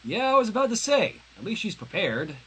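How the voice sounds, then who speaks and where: A man reading fan fiction aloud on a livestream in an expressive, dramatic way, with casual asides. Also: high-pitched, a little fast, husky, rough recording. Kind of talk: animated story narration on a livestream.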